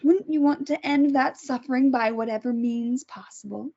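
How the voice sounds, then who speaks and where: A woman voicing a calm, clinical woman who holds a captive and experiments on her, reading in a table read. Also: high voice, normal speed, husky. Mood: cold. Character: coolly menacing woman doctor-kidnapper Beth. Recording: dull lo-fi recording.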